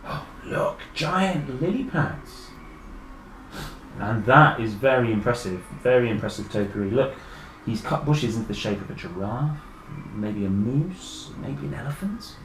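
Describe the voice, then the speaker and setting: In an echoey room with faint noise behind him, a British man reads a children's picture book aloud. His delivery swings up and down in a very lively way. He breaks off for enthusiastic, chatty asides straight to his young audience. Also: medium pitch, unhurried. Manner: enthusiastic, exclaiming and addressing young listeners directly.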